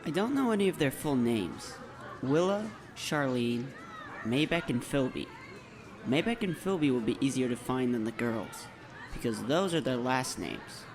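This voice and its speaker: A man's voice: medium pitch, expressive, unhurried, lightly acted. A man playing an earnest, anxious schoolboy hero in an audiobook drama. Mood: intent.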